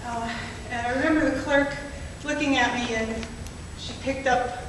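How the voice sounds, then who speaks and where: A woman voicing a woman professor who wore a headscarf, telling her memories in a measured, reflective way with pauses. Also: high-pitched, fairly even intonation, natural voice. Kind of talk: spoken-word poetry performance. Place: performance venue indoors. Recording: echoey hall from far off, noisy.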